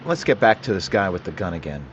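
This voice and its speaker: Guy doing a deadpan 40s radio cop, lowish voice, bit muffled. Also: fast talker, a little hiss or hum. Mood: businesslike. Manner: level, matter-of-fact questioning. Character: calm male police detective, businesslike. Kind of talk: 1940s radio drama acting.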